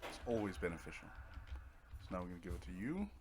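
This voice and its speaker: A man's voice: sits low, big pitch swings, fast, background noise. A man giving casual let's play commentary on a video game, explaining tactics step by step in an offhand way.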